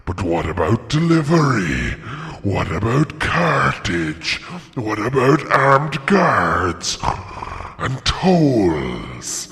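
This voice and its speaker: Wicked ancient dragon for a storybook, low and swooping, mocking, theatrical, drenched in long cave reverb. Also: male voice, gravelly, fairly bright tone, heavily acted. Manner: slow, drawn-out, mocking, theatrical delivery. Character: ancient, huge male dragon, wicked, wily, gloating and menacing. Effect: added cavernous reverb. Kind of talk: dramatised storybook reading. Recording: long cavernous reverb effect.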